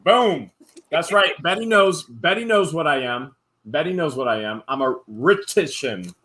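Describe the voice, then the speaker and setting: A man co-hosting a casual vlog, opening fan mail and reading letters aloud with playful, animated jokes. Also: on the high side, measured pace. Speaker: channel co-host.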